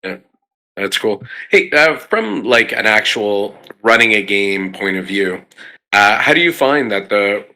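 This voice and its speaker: A man with a fairly low, very bright and punchy voice hosts a casual conversation. His phrasing is informal, and he keeps tagging his points to check that others agree. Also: animated, unhurried, low-quality recording. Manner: informal, seeks agreement with frequent "right?". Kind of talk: casual chat.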